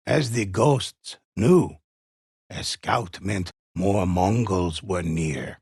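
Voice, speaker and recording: Casting: an aged, grave game storyteller for dark folk tales, gravelly and ominous, close dry sound, unhurried delivery. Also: male voice, low voice, no echo, exaggerated voice. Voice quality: gravelly, husky. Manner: solemn, deliberate, ominous. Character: grave old male storyteller narrating a dark legend of ghost warriors. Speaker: narrator. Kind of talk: dramatic scripted game narration, folk-tale telling.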